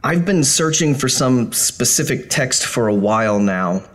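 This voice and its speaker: An actor playing a stressed, secretive city architect in an audio horror drama, stammering and nervous. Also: male voice, mid-range voice, expressive, unhurried, a bit bright, slight background noise. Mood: flustered.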